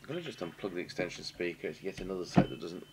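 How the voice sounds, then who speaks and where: Low-voiced British man over humming noise. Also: expressive. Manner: halting, matter-of-fact, thinking aloud. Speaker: radio repair technician demonstrating. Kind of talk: unscripted technical demonstration commentary. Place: indoor workshop at a bench. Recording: clear background noise and hum.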